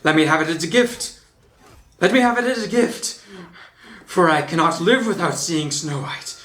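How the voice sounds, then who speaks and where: Storybook hero acted by a male reader: earnest, pleading young prince, lightish pitch, echoey room. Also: lively intonation, moderate pace, a bit bright.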